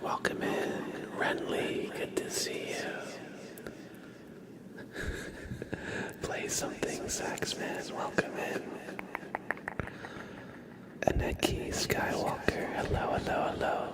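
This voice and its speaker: Slow, low whispering male ASMR streamer. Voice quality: whispered, breathy, with low creaky murmurs. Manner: soft, slow, soothing, greets viewers one by one. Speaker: ASMR livestream host. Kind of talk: ASMR, whispered livestream chat.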